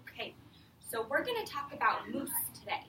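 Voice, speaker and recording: A woman schoolteacher reads a storybook aloud in a high, bright voice with very animated intonation. She explains in simple phrases and keeps asking questions. The sound is distant, with a little background noise. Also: brisk. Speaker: elementary school teacher. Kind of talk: classroom read-aloud with questions to children. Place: echoey classroom. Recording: echoey room, distant mic, faint noise.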